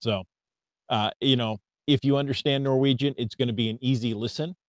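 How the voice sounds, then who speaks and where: American guy cohosting a podcast, chatty and upbeat, dry close mic, middle pitch. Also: steady tone, fairly quick, no echo. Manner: upbeat, reads listener emails aloud, stumbles on foreign words. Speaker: podcast co-host. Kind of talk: casual podcast chat.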